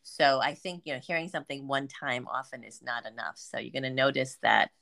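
Low-voiced woman podcast host. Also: fairly quick, creaky, pushed and bright. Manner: earnest, explanatory, chatty with filler ums. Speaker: podcast host and business coach. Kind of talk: casual podcast chat.

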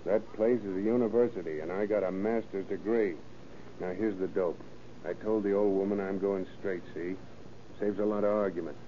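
On a muffled, faintly hissy old radio recording, an actor plays a small-time male crook with a low voice. He talks in tough underworld slang, defensive and scheming, in 1930s crime drama style. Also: fairly even intonation, normal speed, mellow, natural voice. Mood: cocky, scheming.

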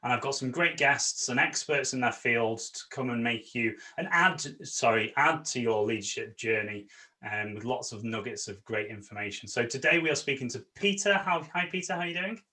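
An upbeat man hosts an interview podcast, warmly enthusiastic as he welcomes and questions his guest. His tone is bright and forward, at a middle pitch. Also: normal speed. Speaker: podcast host.

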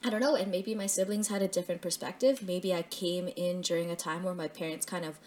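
A woman's voice: on the low side, fairly even intonation, fast, darkish tone, slight background noise. Reflective woman, casual chat. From Canada. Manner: reflective, thinks aloud with fillers and restarts. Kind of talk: casual conversation.

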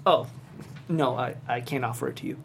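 A woman's voice: very deep voice, fairly quick, vocal fry, a little hiss or hum. A woman playing friendly, awkward male characters in a script table read. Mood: apologetic.

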